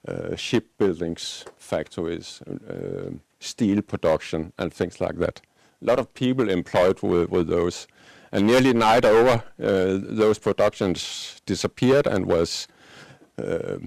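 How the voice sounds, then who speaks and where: A man from Denmark with a Danish accent, giving unscripted panel remarks in English as a non-native speaker, halting and searching for words. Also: low-pitched, vocal fry, a little mellow, no room sound. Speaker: panelist, agricultural policy advocate.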